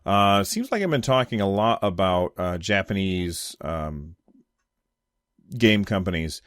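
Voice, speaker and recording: An American man with a fairly low voice hosts a casual solo gaming commentary. He thinks aloud in loose, unscripted phrases, talking conversationally. The sound is dry and close, with little room in it. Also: expressive, unhurried, fairly bright tone, no room sound. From United States. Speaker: podcast host giving gaming commentary.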